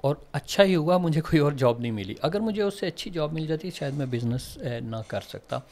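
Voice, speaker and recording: Animated man mixing in English. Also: a little high, brisk. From Pakistan. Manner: animated and explanatory, mixing English phrases into Urdu. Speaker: guest entrepreneur. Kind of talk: podcast interview.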